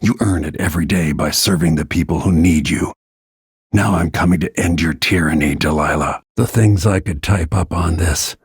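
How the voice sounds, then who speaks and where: A grim middle-aged assassin dude from a video game, deep gravelly husky voice, super dry close sound, terse and broody. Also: male voice, moderate pace, no room sound, heavily acted. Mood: grim, brooding. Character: grim, battle-worn royal protector and assassin, protective father. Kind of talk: scripted video game character lines.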